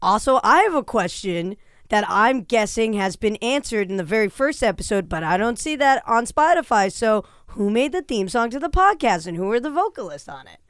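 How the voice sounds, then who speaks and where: A woman's voice: a little high, very animated, normal speed, fairly bright tone, clear, resonant tone, no echo, clean studio sound. A woman co-hosting a podcast, chatty and teasing, reading out listener questions. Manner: chatty, teasing, laughs a lot. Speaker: podcast co-host. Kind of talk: casual chat.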